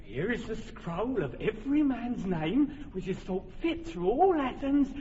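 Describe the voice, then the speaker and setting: Officious carpenter, high-pitched, animated, dull reverberant radio sound. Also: male voice, a little slow, exaggerated voice. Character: fussy carpenter directing amateur players. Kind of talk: radio drama acting. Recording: dull lo-fi radio recording with reverb and faint noise.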